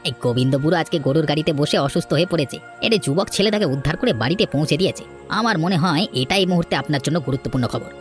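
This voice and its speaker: A man plays a greedy informant in a cartoon folk tale. His fairly high male voice is sly and wheedling as he fawns on his boss, over faint music. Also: expressive, fast talker, close to an everyday voice. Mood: sly, fawning. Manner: obsequious, wheedling. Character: sly, money-loving henchman and village informant. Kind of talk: animated folk-tale voice acting.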